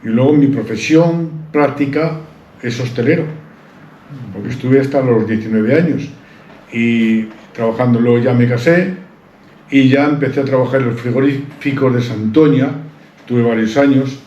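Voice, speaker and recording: A man's voice: mid-range voice, normal speed, mellow. A man tells his life story in an interview, rambling proudly with many restarts. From Santander, Cantabria, Spain. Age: 70s. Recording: roomy, dull-sounding recording.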